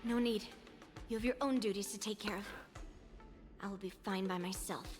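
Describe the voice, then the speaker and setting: A woman playing a confident, commanding young girl warrior in an anime game, speaking in a measured, formal, self-assured way. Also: medium pitch, lightly acted. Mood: composed, businesslike. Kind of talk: scripted anime game voice acting. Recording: long reverb, game music behind.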